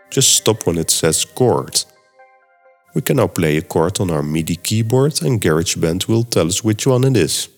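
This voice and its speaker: Middle-aged podcast host with a Dutch accent and a very deep voice, steady and read-from-script, faint music underneath. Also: male voice. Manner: steady, reads from a script, explains step by step. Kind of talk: scripted podcast narration and software tutorial.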